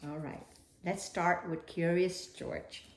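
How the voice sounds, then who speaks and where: A woman's voice: lowish voice, level tone, slow pace, roomy sound, a little hiss or hum. A woman reading a children's picture book aloud expressively, with dramatic delivery and playful asides to a young listener.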